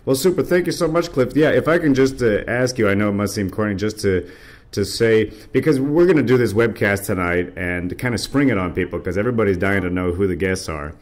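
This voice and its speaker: An American man conducting a casual interview, effusive like a fan, with hesitant, halting phrasing. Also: lowish voice, animated.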